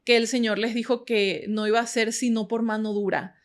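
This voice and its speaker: A woman's voice: a little high, steady tone, moderate pace. A woman from Ecuador who is a guest in a scripture study discussion, speaking in a friendly, hesitant way with many fillers.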